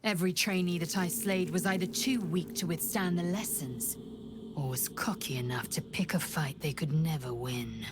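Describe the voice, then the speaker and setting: Haughty low-voiced alien warrior woman, played by an actress. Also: moderate pace, background noise, natural voice. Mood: scornful. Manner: haughty, formal, clipped declarations. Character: proud, disdainful alien warrior woman, ruthless and militant. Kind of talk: video game character acting.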